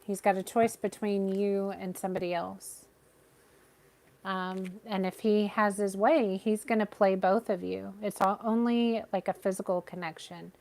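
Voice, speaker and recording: A woman giving a live tarot reading with a soothing, gentle voice, talking straight to her viewers. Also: medium pitch, fairly even intonation, unhurried, gravelly. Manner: gentle, reassuring, addresses viewers by name. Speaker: tarot reader.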